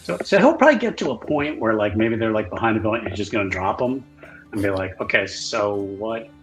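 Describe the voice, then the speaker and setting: Guy playing a tabletop rpg, lively pitch, halting jokey narration, music clearly behind. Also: low-quality recording. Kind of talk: casual description of own character's actions.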